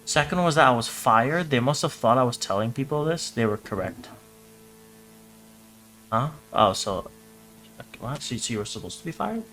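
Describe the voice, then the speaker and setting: A man with a mid-pitched voice streams a casual reaction, with noticeable noise behind him. He reads captions out and riffs on them for his chat in an irreverent, sweary, joking manner. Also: expressive, moderate pace, long pauses, fairly bright tone, poor audio. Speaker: streamer reacting to horror videos. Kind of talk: casual livestream reaction, reading on-screen captions aloud.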